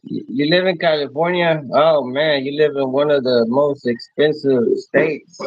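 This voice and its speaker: A man in his thirties from Mexico, hosting a casual livestream and answering viewers in a rambling, halting way, restarting his phrases often. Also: fairly high pitch, fairly even intonation, no room sound, lo-fi sound. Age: 30s. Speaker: livestream host.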